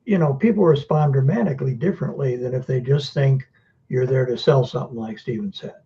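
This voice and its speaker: A man's voice: mid-range voice, a little fast, vocal fry, muffled recording. A man leading a group discussion and coaching in a casual way. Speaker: discussion leader.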